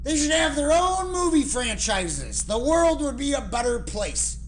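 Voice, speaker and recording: A reviewer delivering a scripted segment in a deliberate, emphatic way with dramatic pauses. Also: sits high, big pitch swings. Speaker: guest film reviewer.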